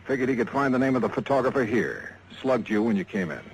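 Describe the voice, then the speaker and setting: An actor playing a tough, dry-witted insurance investigator in clipped 1950s radio detective style. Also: male voice, medium pitch, animated, fast, a little hiss or hum, lightly acted, poor audio. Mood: hardboiled, terse. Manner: clipped, terse, hardboiled. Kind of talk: 1950s hardboiled radio acting and first-person narration. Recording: vintage radio drama with music behind.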